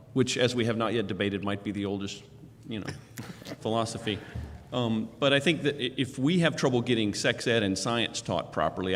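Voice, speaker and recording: Male panelist, lowish voice, dry wry delivery, church reverb. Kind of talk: panel discussion. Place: church sanctuary. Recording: long church-hall reverb.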